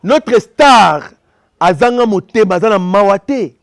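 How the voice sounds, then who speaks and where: A man from Congo giving impassioned opinion commentary, talking fast and repeating himself as he switches between French and Lingala. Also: sits high, lively intonation, no room sound, clean studio sound.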